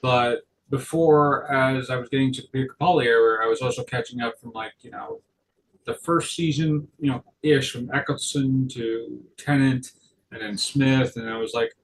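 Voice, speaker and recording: A man's voice: mid-range voice, fairly even intonation, slight background noise. Rambling man, far from the mic. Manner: rambling, halting, self-correcting. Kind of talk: casual chat.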